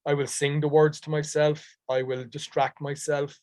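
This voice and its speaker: An actor from Dublin with a Dublin accent, chatting easily in an interview with frequent ums. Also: male voice, on the high side, flat delivery, moderate pace, a little mellow, no echo. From Dublin, Ireland. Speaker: actor guest. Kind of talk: conversational interview answers.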